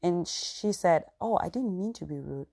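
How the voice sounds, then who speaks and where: Cameroonian woman vlogging, low warm voice, earnest and chatty with lots of you know. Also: lively intonation, normal speed, creaky. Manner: earnest, conversational, frequent "you know" asides. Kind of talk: personal storytelling to camera.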